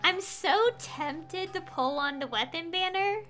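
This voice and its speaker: A woman's voice: extremely high pitch, measured pace, pushed and bright, soft background music. A woman game streamer chatting casually and reading dialogue aloud in a hesitant, musing way.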